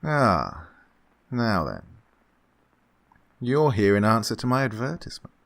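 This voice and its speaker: An actor playing an unctuous, scheming gentleman employer who feigns kindly absent-mindedness, fussy and repetitive, in an old-time radio horror drama style. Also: on the low side, big pitch swings, a little slow, gravelly, heavily acted. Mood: oily, genial. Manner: fussy, hesitant, repeats himself, chuckling.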